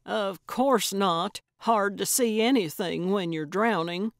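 Casting: feisty older female sleuth played by a woman narrator, blunt and stubborn, lifted and loud, audiobook style. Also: mid-range voice, slow pace, no room sound, clean studio sound. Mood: defiant. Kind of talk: audiobook character voice.

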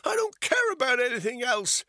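A man's voice: big pitch swings, moderate pace, bright, forceful tone, no room sound, studio quality, exaggerated voice. A man voicing a desperate, frightened mother who screams and pleads with a gun in her hand. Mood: desperate screaming. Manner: screaming, shrill and frantic.

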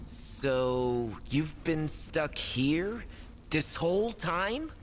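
A bewildered, higher-voiced young warrior. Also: male voice, big pitch swings, very slow, big-room sound, slight background noise, full character voice. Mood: uneasy. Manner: hesitant, questioning. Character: wary, bewildered young male space warrior. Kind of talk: video game cutscene acting.

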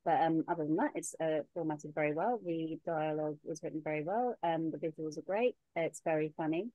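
A woman giving halting, friendly feedback on a video call, with many ums and uhs. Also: deep voice, no room sound, phone-quality sound. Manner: halting, filler-heavy, friendly. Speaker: script reading panelist. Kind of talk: casual video-call discussion.

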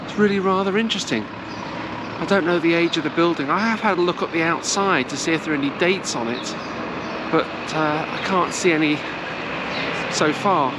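A woman's voice: lowish voice, moderate pace. A middle-aged woman presenting a walking-tour travel vlog to a camera held at arm's length. She talks in a chatty, meandering way with frequent hesitations. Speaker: travel vlogger. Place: outdoors in sunny city squares and streets. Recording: outdoor street noise and traffic.

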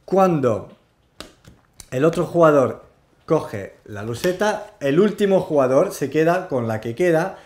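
A man, hosting a casual board game explainer in a halting way, searching for words. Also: on the high side, expressive, a little mellow. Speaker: host of a board game show. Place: small indoor room with game shelves.

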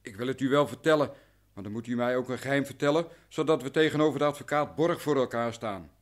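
An actor playing a cautious, worn-down merchant in a radio drama, explaining things formally and carefully. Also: male voice, mid-range voice, fast talker, natural voice. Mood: cautious, secretive. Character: cautious, anxious, worn-down male grain merchant.

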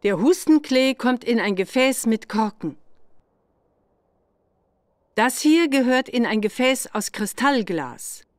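A woman playing an older witch examiner who gives patient, deliberate instructions in a children's game. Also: a little high, expressive, no room sound, clean studio sound. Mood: patient, instructive.

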